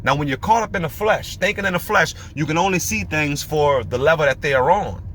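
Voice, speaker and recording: A man's voice: on the high side, fairly quick, a bit bright, slight background noise. Preachy, emphatic male podcast host. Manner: emphatic, preachy, fires rhetorical questions.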